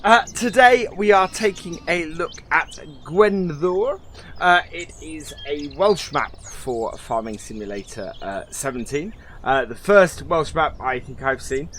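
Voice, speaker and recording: A man's voice: a little high, lively intonation, a little slow, a bit bright, slight background noise. A man casually commentating a farming game as he explores it, upbeat and full of ums and uhs. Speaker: gaming channel host.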